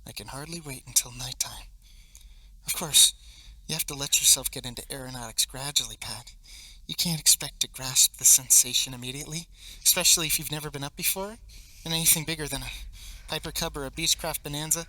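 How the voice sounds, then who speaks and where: A teenage male actor playing an intense, needling man in a stage play, swinging theatrically between loud and hushed delivery, with obsessive fervor. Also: fairly high pitch, fairly even intonation, brisk, dry recording, rough recording. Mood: wistful, dreamy. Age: teens. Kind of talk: stage play monologue, projected theatrical acting. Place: high school theatre stage. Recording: live stage recording with faint noise.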